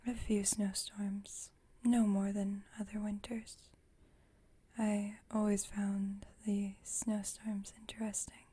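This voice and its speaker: A woman playing an ageless vampire lady, hushed breathy whisper, husky, unhurried pace, calm, genteel, dryly witty, ASMR roleplay. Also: mid-range voice. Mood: calm, reflective. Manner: unhurried, gentle, slightly formal phrasing.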